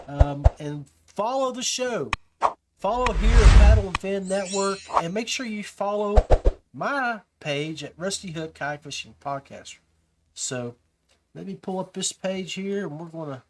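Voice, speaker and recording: A man hosting a podcast, talking casually and instructively to viewers in a halting way, often repeating words. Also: a little high. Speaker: podcast host.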